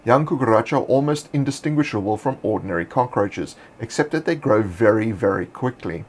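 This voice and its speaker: A man hosting, reading fantasy monster lore in a measured, scripted narration. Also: mid-range voice, expressive, breathy edge. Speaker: host.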